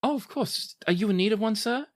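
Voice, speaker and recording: A man's voice: high voice, expressive, a little fast, voice pushed up. A man voicing a polite, deferential robed cleric in a tabletop fantasy game. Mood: obliging. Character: courteous, obliging robed cleric offering potions.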